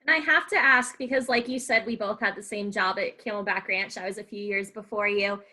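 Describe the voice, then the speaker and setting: A woman's voice: mid-range voice, fairly even intonation, brisk, bright, forceful tone. A young woman from Arizona with an American accent, hosting a podcast in a casual conversational style. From Arizona, United States. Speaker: podcast host. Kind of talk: casual interview chat.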